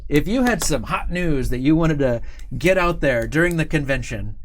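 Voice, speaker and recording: A man's voice: on the high side, animated, moderate pace. A man hosting a livestream in casual, unscripted chat, talking in an enthusiastic, rambling way and often repeating himself. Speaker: host of a company livestream show. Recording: clearly audible background noise.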